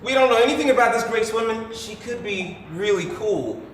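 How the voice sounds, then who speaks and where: Very echoey, flippant playboy on stage. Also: male voice, high voice, brisk, fairly bright tone. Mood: nonchalant. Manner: flippant, self-satisfied, theatrical. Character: breezy, self-satisfied playboy son, flippant and defensive. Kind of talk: stage play acting. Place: indoor theatre stage, recorded from far off. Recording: echoey theatre, distant mic, faint noise.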